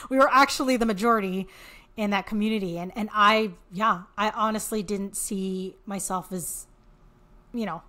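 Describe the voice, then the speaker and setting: A woman's voice: medium pitch, fairly bright tone. Canadian woman explaining things conversationally. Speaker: podcast guest, author and marketing entrepreneur. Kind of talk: casual interview talk, explaining.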